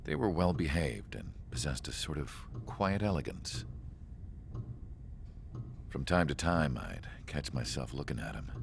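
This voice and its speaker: A man playing a calm, reflective narrator in a game cutscene, telling the story in a measured, wistful way. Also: very deep voice, creaky, noisy background, exaggerated voice.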